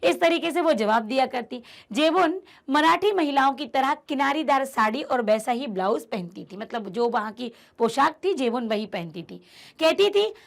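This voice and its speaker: A woman's voice: sits high, lively intonation, moderate pace, gravelly. A woman teacher explaining a textbook chapter to her students, emphatic and repeating key phrases as she speaks to them directly. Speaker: Hindi teacher.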